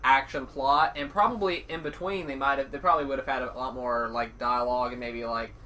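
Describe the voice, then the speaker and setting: A man co-hosting a podcast in casual chat, speaking in a halting way that circles back on his thoughts. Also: mid-range voice, steady tone, sharp tone. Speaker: podcast co-host. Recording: distant mic with background noise.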